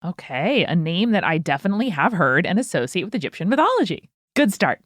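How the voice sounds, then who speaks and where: A woman's voice: fairly low pitch, quick pace, dry recording, clean studio sound. A woman co-hosting a podcast, playful and reactive in casual chat. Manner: playful, reactive, lively interjections. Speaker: podcast co-host.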